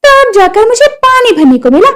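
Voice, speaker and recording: A woman's voice: very high voice, big pitch swings, normal speed, a bit bright, full character voice. A woman voicing a feisty young village wife in a cartoon story, whiny and argumentative. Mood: aggrieved. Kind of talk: Hindi cartoon story acting.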